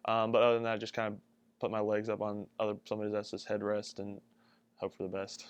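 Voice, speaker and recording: A man's voice: lowish voice, completely flat delivery, fast, clean tone, muffled recording. A young man, a college athlete, answering interview questions casually. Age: young adult. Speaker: college basketball player.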